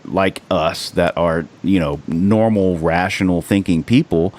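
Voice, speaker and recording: A man's voice: deep voice, a little slow, darkish tone. An American man from the rural United States hosting a podcast, chatting casually in an earnest, rambling, sweary way. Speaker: podcast host. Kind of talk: casual chat.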